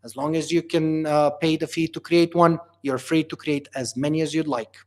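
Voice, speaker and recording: A man's voice: on the high side, fairly even intonation, normal speed. A man from Bulgaria hosting a livestream Q&A as company founder, reading out viewer questions and answering them casually with lots of fillers. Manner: casual, reads questions aloud then answers with many fillers.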